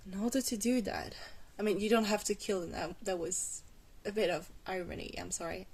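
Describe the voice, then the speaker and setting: A woman playing a glamorous vampire mentor in an ASMR roleplay, patient, reassuring and gently wry, with a soft, hesitant delivery. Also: mid-range voice, steady tone, fairly quick, breathy edge. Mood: wry, explaining.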